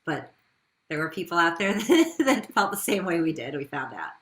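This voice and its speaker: A woman's voice: lowish voice, very animated, normal speed, fairly bright tone. A woman speaking as a business founder in an interview, telling her story in a conversational way with rhetorical questions.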